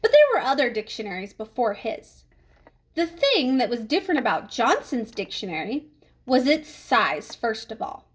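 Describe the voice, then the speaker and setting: High-pitched, animated woman reading aloud. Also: moderate pace, breathy edge. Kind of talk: children's read-aloud storytelling.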